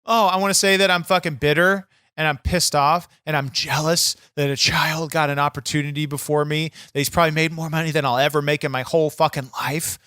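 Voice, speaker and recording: A man's voice: a little high, steady tone, a little fast, few pauses, pushed and bright, clear, resonant tone, no echo, clean studio sound. A man playing a bitter, boastful wrestler persona on a comedy podcast, crude, swearing and easily irritated. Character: brash, bitter, foul-mouthed male wrestler persona. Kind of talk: improvised comedy podcast chat.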